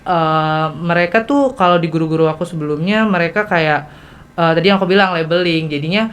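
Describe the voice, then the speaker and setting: A teenage girl with a Jakarta Indonesian accent hosting a student podcast in a casual, halting, conversational way. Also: lowish voice. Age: teens. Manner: halting, hesitant delivery with repeated self-interruptions.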